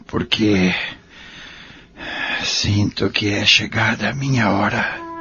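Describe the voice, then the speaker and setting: Man acting a slow, gentle old Brazilian man. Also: fairly low pitch, background noise. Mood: solemn. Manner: gentle, earnest, formal. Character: gentle, repentant old man nearing death. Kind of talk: audio drama acting. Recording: dull lo-fi audio with music behind.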